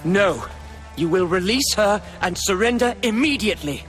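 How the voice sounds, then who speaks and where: An actor playing a dignified, stern young marquis in a video game, speaking formally and with measured authority. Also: male voice, high voice, animated, fairly bright tone, faint music behind. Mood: stern, accusing. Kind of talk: dramatic video game acting.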